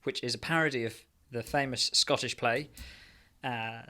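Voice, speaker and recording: A British man talks in a loose, conversational podcast style. His voice has a clearly bright, crisp edge. He tends to ramble and cut across his own sentences. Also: mid-range voice, steady tone, moderate pace. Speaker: podcast co-host. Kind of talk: casual podcast chat.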